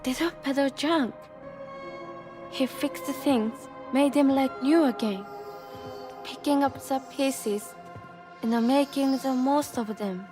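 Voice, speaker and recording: An actress playing a tender, teasing young woman who speaks softly and slowly in a video game cutscene. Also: sits high, music in the background. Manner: soft, slow and affectionate.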